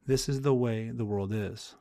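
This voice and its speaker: A man's voice: medium pitch, a little slow, creaky, warm tone, dry recording, studio quality. A man voicing a bitter, grieving parent bird in a fable, lamenting its lot. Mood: bitter, anguished.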